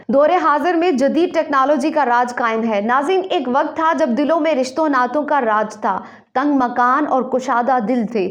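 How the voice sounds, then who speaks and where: A woman with a Pakistani accent hosting a programme, delivering a formal, rhetorical scripted monologue to viewers. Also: high voice. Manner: formal, rhetorical, literary phrasing. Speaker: programme host.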